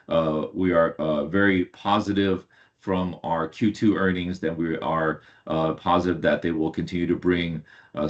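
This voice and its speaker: A man with a notably deep voice speaks as an executive on an online earnings call. He is halting and often repeats words as he explains things. The audio is dull and somewhat lo-fi. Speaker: company executive. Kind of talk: earnings call presentation and answers. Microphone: online conference call.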